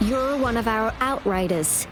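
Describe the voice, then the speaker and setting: An alert female defense director in a fantasy game. She sounds earnest and businesslike, with a voice a little above average in pitch, and music plays clearly behind her. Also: expressive, unhurried. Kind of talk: video game voice acting.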